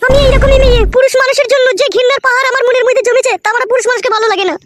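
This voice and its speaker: A woman plays a domineering young wife from a crude comedy cartoon, extremely shrill and racing through her words. She is curt, scolding and sharp-tongued. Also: fairly even intonation, very fast, no room sound. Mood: furious, threatening.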